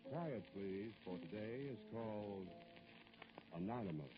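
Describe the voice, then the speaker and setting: A man announcing an old-time radio drama in a measured introductory style. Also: on the low side, animated. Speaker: series announcer. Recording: dull vintage radio recording over music.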